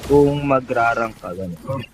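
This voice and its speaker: A man's voice: medium pitch, measured pace, faint music behind. A man with a Filipino accent chatting casually while playing a mobile game. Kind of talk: casual chat while gaming. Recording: background noise under voice chat.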